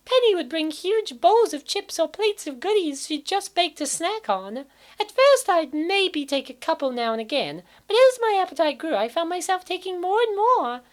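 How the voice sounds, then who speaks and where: A woman's voice: very high voice, very animated, normal speed. A woman playing a naive young college woman in a comic dub, narrating and exclaiming theatrically. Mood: reflective. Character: cheerful naive college woman narrating her weight gain.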